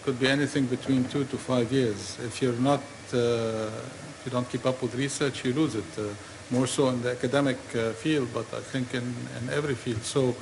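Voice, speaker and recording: A man with a middle-pitched voice responds from a conference panel, calm and explanatory. Clear background noise runs under the recording. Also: moderate pace, few pauses. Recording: noisy hall recording.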